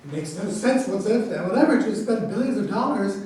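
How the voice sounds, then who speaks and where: A woman's voice: medium pitch, lively intonation, brisk, darkish tone, natural voice. A woman playing an opinionated, indignant research commander in a staged satirical reading, earnest and self-righteous. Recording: echoey room, distant mic, faint noise.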